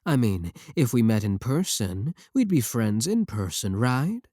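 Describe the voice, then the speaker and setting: A man's voice: medium pitch, very animated, unhurried, mellow, dry recording. A man playing a clingy, possessive yandere VTuber, pleading defensively and intimately with a single viewer in an ASMR roleplay. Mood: obsessive, coaxing.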